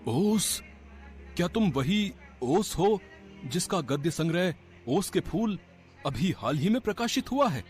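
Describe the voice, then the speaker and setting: A man's voice: high voice, very animated, slow, a little mellow, faint music behind, exaggerated voice. A man voicing a fussy, officious literary secretary in a cartoon story, speaking in a declamatory, effusive way. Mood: astonished. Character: pompous, fussy male government literary secretary.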